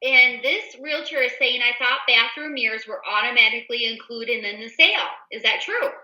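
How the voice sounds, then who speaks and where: Female host, high and echoey, casual newsletter read, emphatic and direct to listeners. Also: moderate pace, bright, forceful tone. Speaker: show host. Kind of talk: casual co-hosted talk, reading a newsletter aloud. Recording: roomy, echoey indoor sound.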